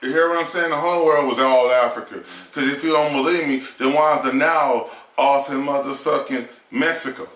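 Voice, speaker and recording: A man's voice: mid-range voice, fairly quick, few pauses, a bit bright. A man in a heated casual discussion, arguing emphatically with rhetorical questions and profanity. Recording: roomy echo.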